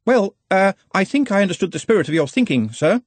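A man's voice: high voice, big pitch swings, fairly quick, dry recording, voice pushed up. A man voicing an anxious, pedantic, long-suffering wizard's assistant in a storybook, fussy and deferential. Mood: anxious protesting.

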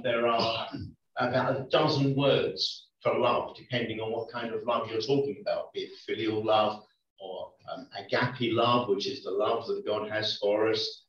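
A man preaching a conversational sermon in a church, often pausing with ums. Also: mid-range voice, a bit bright. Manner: conversational, hesitant with many ums. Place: church hall. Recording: echoey lo-fi church recording from far off.

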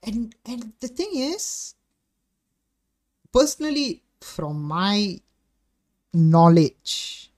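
A man's voice: sits high, very slow, long pauses. Blunt young Malaysian man hosting. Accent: Malaysian English. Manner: blunt, swears freely, animated. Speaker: podcast host. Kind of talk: casual podcast chat.

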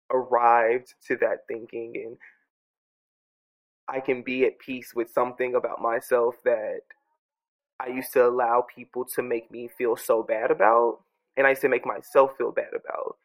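Congested man musing with a gravelly edge. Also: mid-range voice, rough recording. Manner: soft, earnest, musing with many restarts. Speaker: podcast host journaling aloud. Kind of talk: reflective personal audio journal. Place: his home. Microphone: own studio microphone.

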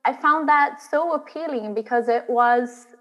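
A woman's voice: high voice, unhurried, a bit bright, muffled recording. Chatty woman from Brazil, reverberant sound. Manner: chatty, laughs while telling stories. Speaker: guest, drag artist. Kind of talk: interview chat.

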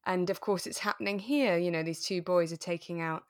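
A woman's voice: on the low side, a little fast, clean tone. A woman, chatting casually as a podcast co-host about a radio drama. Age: over 25, adult.

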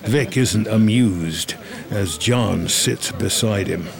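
A man's voice: lowish voice, slow pace, creaky. A man reading scripted screenplay narration as the narrator of a drama.

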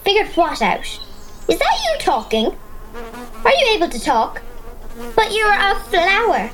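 A woman playing a curious, excitable young Irish girl, acting and narrating her adventure in a wide-eyed, expressive way for an audio drama. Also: very high-pitched, measured pace, background noise, heavily acted. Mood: curious, startled. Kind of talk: audio drama acting and first-person storytelling. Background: music.

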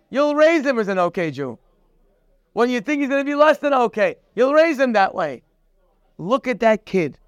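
A man's voice: extremely high pitch, smooth, dry recording, clean studio sound. A man teaching a daily Torah class, preaching emphatically and speaking straight to the listener. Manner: emphatic, preachy, quotes Hebrew sources then translates; addresses listener directly, repeating "you".

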